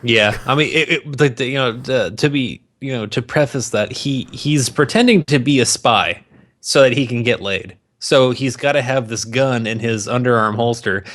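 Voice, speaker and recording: A male podcast co-host with a mid-range voice talks casually and with plenty of profanity. He is opinionated and mocking, and tells things in an animated, conversational way. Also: mid-range voice, normal speed. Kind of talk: casual movie banter.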